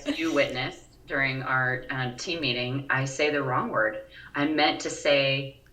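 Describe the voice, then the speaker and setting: A woman in her forties with a deep, scratchy voice, co-hosting a casual podcast chat and often pausing to search for words. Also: steady tone, bright, forceful tone. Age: 40s. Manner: pauses and searches for words, frequent ums. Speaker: podcast co-host. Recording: roomy, slightly distant mic with faint ambient noise.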